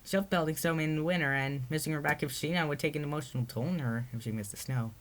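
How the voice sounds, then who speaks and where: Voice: mid-range voice, quick pace. A narrator reading their own story aloud in a storybook read-aloud style.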